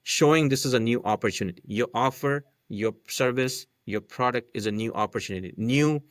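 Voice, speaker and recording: Non-native english guy, podcast guest, talks it through step by step, average pitch, dry mic. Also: fairly quick, dry recording. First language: not English. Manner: explains step by step, with frequent "right?" and fillers. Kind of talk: casual explanatory chat.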